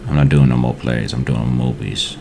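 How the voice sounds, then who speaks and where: A man's voice: a bass voice, normal speed, vocal fry, warm tone, slight background noise. An American man in his forties chatting casually as a podcast guest, blunt, slangy and profane. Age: 40s.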